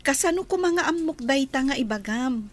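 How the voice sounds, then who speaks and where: A woman's voice: sits high, animated, normal speed. A woman playing a hesitant, demure young female employee in a Filipino radio drama. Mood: flustered, guarded.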